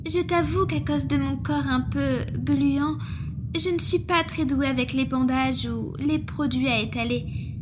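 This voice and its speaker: A high, soft female voice of an actress as a slime monster nurse in an intimate ASMR roleplay. She is gentle, reassuring and a little teasing with her patient, over clearly audible background noise. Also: a little slow. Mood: caring, explaining. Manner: soft-spoken, caring, reassuring, often slipping into whispers. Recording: clearly noisy ambience behind the voice.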